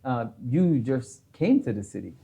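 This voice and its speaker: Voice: medium pitch, big pitch swings, moderate pace, vocal fry, crowd noise. A talk show host asking questions casually, often stumbling and restarting mid-sentence. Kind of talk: casual interview hosting.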